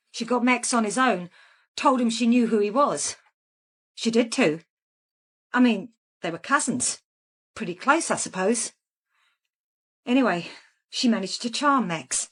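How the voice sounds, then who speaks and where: A woman's voice: on the high side, expressive, measured pace, pauses often, fairly bright tone, husky, heavily acted. An actress playing a calculating woman who confesses to killing in a matter-of-fact, unrepentant way, in a naturalistic radio crime drama. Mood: dismissive. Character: calculating female killer calmly confessing in a police interview.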